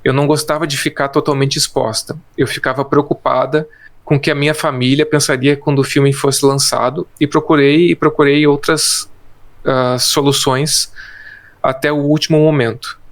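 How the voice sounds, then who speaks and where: A man's voice: on the high side, level tone, normal speed. A man co-hosting a casual film podcast, reading trivia and quotes from his notes with hesitant restarts. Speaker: podcast co-host.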